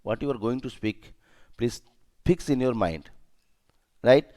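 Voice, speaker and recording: A man's voice: medium pitch, unhurried, warm tone. Indian-accented man teaching, emphatic. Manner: emphatic, repeats key points, checks understanding with short questions. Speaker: safety and security trainer. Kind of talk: instructional lesson.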